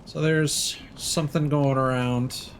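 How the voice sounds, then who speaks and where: A man's voice: a little high, fairly even intonation, slow, slight background noise. A man giving casual live commentary as he plays a game, with faint game sound behind him. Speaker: game streamer.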